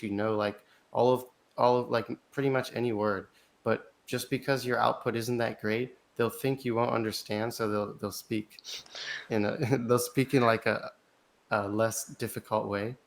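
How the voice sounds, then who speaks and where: A man as an interview guest, answering thoughtfully in casual conversation, often restarting his sentences and filling gaps with "like". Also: medium pitch. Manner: thoughtful, halting, with frequent restarts and "like" fillers. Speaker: interview guest, language learner.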